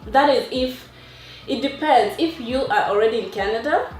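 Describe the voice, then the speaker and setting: A woman who grew up in Kenya talks through her nursing career in a relaxed interview. She is soft-spoken, full of small restarts, and sits back from the microphone in a roomy space with faint music under her. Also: a little high, normal speed, recorded from a distance. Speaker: interview guest, nurse. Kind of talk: casual interview.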